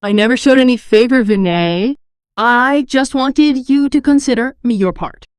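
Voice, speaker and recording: A woman's voice: a little high, moderate pace, smooth, no room sound, studio quality, lightly acted. A woman voicing a hardworking, dutiful young married woman, weary but patient, speaking in an earnest, pleading way. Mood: calm, earnest.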